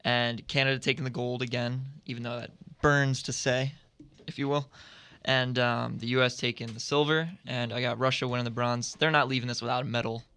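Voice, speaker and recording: A man's voice: medium pitch, level tone, a little fast, bright, forceful tone, smooth. A man giving firm opinions and predictions as a panelist on a roundtable sports radio show, recorded in a studio.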